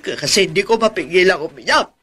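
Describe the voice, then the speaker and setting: An actress playing a touchy, self-pitying older aunt in a radio drama, plaintive and reproachful. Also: female voice, lowish voice, animated, fairly quick, a bit bright, a little hiss or hum. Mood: sulky, hurt. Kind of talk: Filipino radio drama acting.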